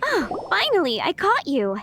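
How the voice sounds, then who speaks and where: Harried young game waitress, high pitch, lively intonation, polite, earnest. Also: female voice, measured pace, background noise, exaggerated voice. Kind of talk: video game character acting. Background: faint game music.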